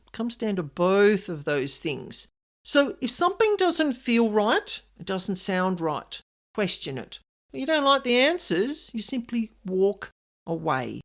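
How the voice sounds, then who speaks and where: Female podcast host. Expressive, wide-ranging intonation, conversational solo delivery, emphatic and straight to the listener. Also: medium pitch. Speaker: podcast host and paranormal specialist.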